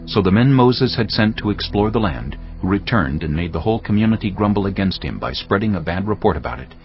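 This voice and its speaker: A man's voice: lowish voice, animated, a little fast, dull audio, soft background music. A man narrating scripture as a scripted, dramatic story.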